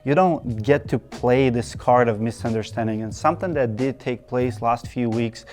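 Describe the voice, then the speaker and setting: A man's voice: mid-range voice, moderate pace, a little mellow, a little hiss or hum. A man from Russia with a Russian accent, hosting his channel and giving earnest, direct advice to camera point by point. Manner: earnest, direct, list-by-list advice with emphatic repetition. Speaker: channel host.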